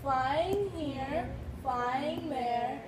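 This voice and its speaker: A high-pitched woman reads a children's rhyme to her class. She goes extremely slowly, leaving deliberate gaps for others to join. The recording is distant and echoey. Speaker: teacher reading aloud to a class. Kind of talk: shared read-aloud of children's rhyming book. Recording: echoey, noisy room, distant microphone.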